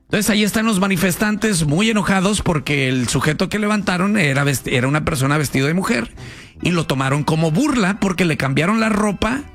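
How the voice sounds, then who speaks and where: A man hosts a radio talk show, chatting casually and throwing in slang with callers. His voice runs a little high, and faint music plays behind him. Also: lively intonation, brisk, fairly bright tone. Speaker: radio host.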